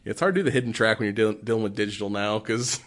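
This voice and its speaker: A man's voice: fairly low pitch, rapid-fire. A man co-hosting a horror film podcast in casual chat, joking and often laughing as he talks. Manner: jokey, laughs often while talking. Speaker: podcast co-host reviewing horror films.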